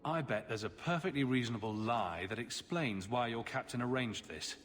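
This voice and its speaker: A man's voice: mid-range voice, moderate pace, a bit bright, close to an everyday voice. A man playing a sardonic young adventurer hero in a video game, dry-witted and wry.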